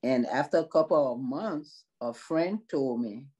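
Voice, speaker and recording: Slow, mellow-voiced Liberian woman. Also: extremely low pitch, lively intonation, husky. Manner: slow, earnest, measured. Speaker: nursing assistant in long-term care. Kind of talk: interview on video call. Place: small room indoors. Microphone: video call.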